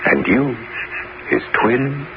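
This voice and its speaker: An actor playing an aging bishop and former musketeer in a 1970s radio drama, grave and resolute, with theatrical, deliberate, emphatic phrasing. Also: male voice, medium pitch, very animated. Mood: conspiratorial, resolute. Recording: muffled, noisy 1970s radio broadcast recording.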